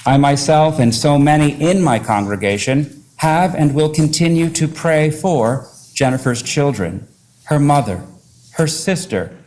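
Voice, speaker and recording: A man, a pastor, reading a formal character statement to a court in a measured, earnest way. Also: on the high side, darkish tone, low-quality recording.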